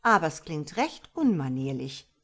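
A cheerful, self-assured starling, as a woman reader voices him in a storybook. He talks in a breezy, lightly lecturing way. The voice sits somewhat low. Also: big pitch swings, normal speed, fairly bright tone, exaggerated voice. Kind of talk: storybook character voice.

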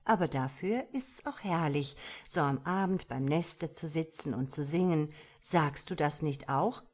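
Woman playing a cheery chatty starling, bit of a know-it-all, lowish voice. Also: animated, unhurried, a little mellow. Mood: breezy. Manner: breezy, lecturing, light. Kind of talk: storybook character voice.

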